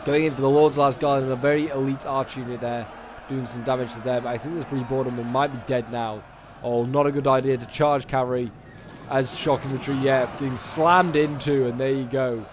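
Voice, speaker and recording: A man with a sore throat, slightly hoarse, giving casual, rambling commentary on a strategy game battle with lots of fillers. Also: medium pitch, steady tone, brisk, background noise. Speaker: gaming YouTuber casting a multiplayer battle.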